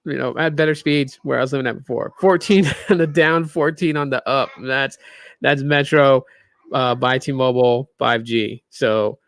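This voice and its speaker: Tired man rambling on a live stream, gravelly. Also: mid-range voice, fairly even intonation, normal speed, a bit bright, no echo. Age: 30s. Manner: rambling, loose, lots of fillers. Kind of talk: casual live stream chat. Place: small room in a new home.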